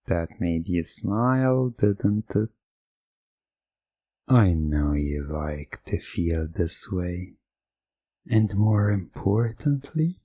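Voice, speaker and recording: A man giving soft, commanding, repetitive hypnotic suggestions in an ASMR roleplay, close to the mic. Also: low-pitched, breathy edge, dry recording.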